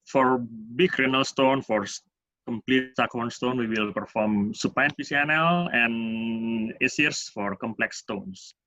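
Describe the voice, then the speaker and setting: A man with an Indonesian accent giving a medical lecture as a urologist, speaking in halting, hesitant phrases. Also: medium pitch, sharp tone. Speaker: urologist presenting at a medical webinar. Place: online webinar. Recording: dull, roomy webinar audio.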